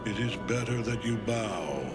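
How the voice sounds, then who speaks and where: An actor playing a grief-worn, imperious villain general in a video game, speaking in a grandiose, commanding and theatrical way. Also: male voice, lowish voice, expressive, a little slow, over loud music. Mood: imperious, threatening.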